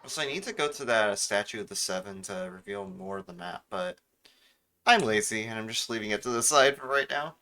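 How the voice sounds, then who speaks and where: A man's voice: lowish voice, animated, fairly quick, bright, forceful tone. A man streaming a video game with casual, joking commentary and mock indignation.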